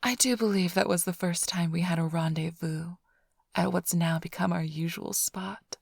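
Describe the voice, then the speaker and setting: Breathy, flirtatious vampire thief played by a woman. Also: lowish voice, steady tone, normal speed, no echo, studio quality. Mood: sly, teasing. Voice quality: breathy, husky, often whispered. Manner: teasing, purring, theatrically sly. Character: flirtatious female vampire thief, teasing smug rival. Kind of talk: ASMR roleplay, intimate close address to listener.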